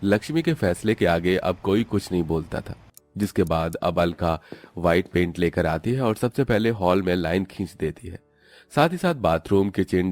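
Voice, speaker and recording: A man with a fairly low voice reads scripted story narration for a cartoon. The recording is close and very dry, like a studio voice-over. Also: darkish tone, no room sound.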